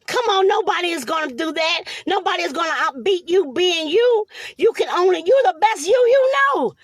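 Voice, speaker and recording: A woman's voice: very high voice, lively intonation, normal speed, no room sound, clean studio sound. A woman preaching in an impassioned, motivational style, exhorting emphatically with repeated phrases and rhetorical questions. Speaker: motivational preacher hosting a live stream.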